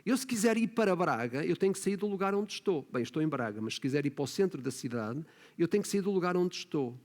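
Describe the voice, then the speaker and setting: A man's voice: fairly high pitch, lively intonation, quick pace. A man with a European Portuguese accent preaching a sermon as pastor, often adding tag questions and rising to emphatic points. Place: church hall. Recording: long reverb of a church hall.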